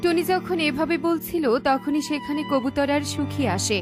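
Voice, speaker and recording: A woman's voice: high voice, steady tone, moderate pace, over music. A woman reading scripted narration for a children's cartoon story.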